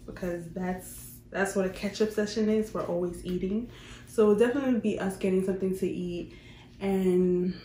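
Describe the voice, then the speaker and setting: A woman's voice: mid-range voice, dark tone. A young adult woman, chatting casually to viewers as a vlogger answering questions. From Queens, New York, United States. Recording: roomy echo, faint background noise.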